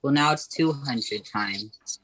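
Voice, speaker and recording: A woman's voice: rumbling low, slow, a bit bright, dry recording, full character voice, rough recording. A woman playing a bossy, scheming ten-year-old boy in an amateur radio play. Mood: bossy, threatening.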